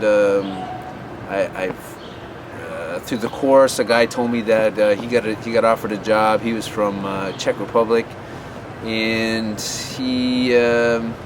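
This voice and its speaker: A man giving offhand advice about teaching abroad. He rambles and often restarts with fillers. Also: mid-range voice. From USA. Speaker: English teaching advice vlogger. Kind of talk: unscripted advice talk to camera. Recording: outdoor street noise.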